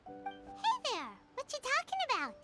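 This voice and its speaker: Little fairy girl, squeaky, very high, lively swings, bouncy, video game, music behind. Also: measured pace, exaggerated voice. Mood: excited. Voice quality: squeaky, childlike. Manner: refers to self by name, bouncy. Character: small excitable floating fairy girl companion.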